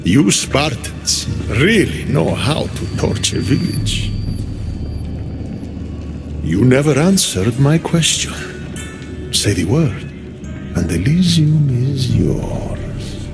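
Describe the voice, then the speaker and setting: A mocking god of the dead for a video game. He drawls slowly and sarcastically, swinging widely in pitch as he taunts, with music underneath. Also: sing-song. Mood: taunting. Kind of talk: video game cutscene acting.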